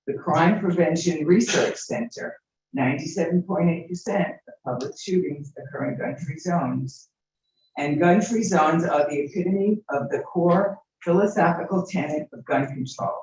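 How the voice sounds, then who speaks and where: A man's voice: fairly high pitch, darkish tone. Man reading a statement in an echoey chamber. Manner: earnest, reading a prepared statement. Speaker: public commenter. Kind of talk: prepared public comment speech. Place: city commission chamber. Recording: distant very echoey muffled hall mic.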